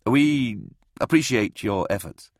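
A man voicing a stern, impatient and somewhat pompous military commissar in an audiobook, gruff and curt. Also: mid-range voice, animated, slow pace, no room sound, studio quality, pitched above the performer's own voice. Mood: businesslike. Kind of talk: audiobook character voice in military science fiction.

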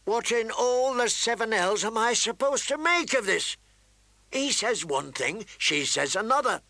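A man's voice: high voice, expressive, a little slow, sharp tone, dry recording, voice pushed up, full character voice. A man voicing a burly, bearded king with a commanding, snapping, irritated manner in a storybook reading. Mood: exasperated.

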